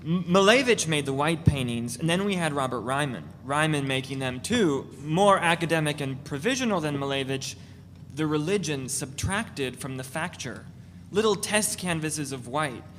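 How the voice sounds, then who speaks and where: A woman's voice: sits low, animated, normal speed, pitched below the performer's own voice. Self-promoting male artist, played by a woman: earnest, pushy, stammering; reverberant, slightly noisy live reading. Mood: earnest, pretentious. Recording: echoey hall, noticeable noise.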